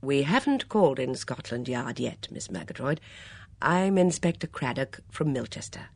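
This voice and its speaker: A woman voicing a calm, polite male police inspector in an audiobook, asking questions in a measured, formal manner. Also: very deep voice, husky, dry recording, heavily acted.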